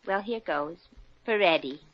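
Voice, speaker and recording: An actress playing a brash, wisecracking young working woman with quick sales patter in a 1950s radio comedy. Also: fairly low pitch, lightly acted. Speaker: lead character. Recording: dull lo-fi old radio broadcast.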